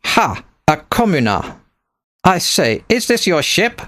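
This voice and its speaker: A man acts out a proud space pirate woman for an audio story, pitched up and strongly projected. The accent is thick and foreign, the phrasing halting, the temper fervent and commanding. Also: very animated, a bit bright, dry recording, heavily acted. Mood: haughty, amused. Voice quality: rich, strong. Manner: proud, halting broken grammar.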